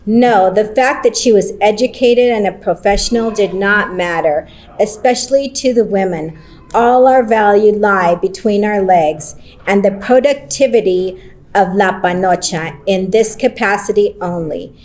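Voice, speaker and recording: Woman on a panel reading her short story out loud, slow and careful, mixes in spanish words. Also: mid-range voice, low-quality recording. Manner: slow, deliberate reading with Spanish phrases woven in. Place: conference roundtable session.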